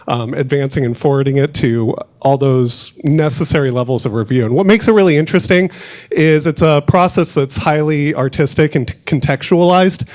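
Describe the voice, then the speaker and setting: Man, conference presenter, steady middle pitch, lots of uhs and restarts. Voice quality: loud voice. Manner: storytelling, many fillers and restarts.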